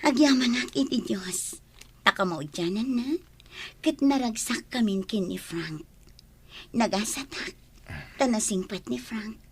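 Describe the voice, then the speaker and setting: A woman's voice: a little high, measured pace, pauses often, breathy edge. An actress playing a tender, grateful young wife and mother in a radio drama. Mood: grateful, soft.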